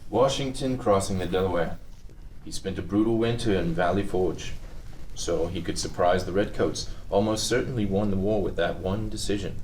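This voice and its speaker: A deep-voiced, humorless patrician of about forty, acted by a man in a drama table read. He speaks formally and deliberately, heard from across an echoey, noisy room. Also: darkish tone, natural voice. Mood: measured, cool. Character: Joe Kennedy Senior, stiff humorless patrician tycoon in his forties. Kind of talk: table-read acting of a TV script. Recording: roomy, distant mic with noise.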